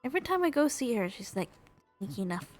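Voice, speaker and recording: A woman's voice: on the high side, big pitch swings, fast, faint music behind. A woman game streamer reacting casually as she plays, close to the microphone. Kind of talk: casual reactions while playing.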